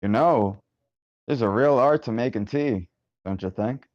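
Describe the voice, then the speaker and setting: A man's voice: mid-range voice, a little slow, close to an everyday voice. A man playing a vicious, cold middle-aged businessman killer in a screenplay table read. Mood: calm menace. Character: vicious cold 53-year-old businessman killer, menacing and casual. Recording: muffled online meeting audio.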